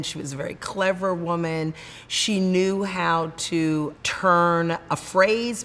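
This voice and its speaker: A woman literature scholar giving thoughtful, earnest lecture commentary, pausing now and then. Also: low-pitched, a bit bright, big-room sound, slight background noise. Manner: thoughtful, earnest, with pauses and fillers.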